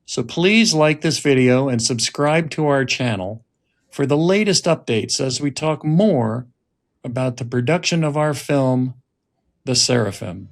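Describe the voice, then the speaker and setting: Slow-paced man, deliberate delivery. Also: medium pitch, animated, gravelly. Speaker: writer-director and podcast host. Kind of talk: scripted narration and reflective talk.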